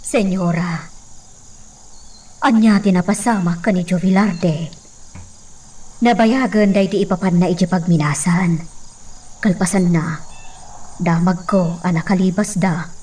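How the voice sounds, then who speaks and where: Low-voiced, respectful mansion servant woman. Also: measured pace, long pauses, dark tone, husky, far from the mic. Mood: earnest, confiding. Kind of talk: Ilocano radio drama acting.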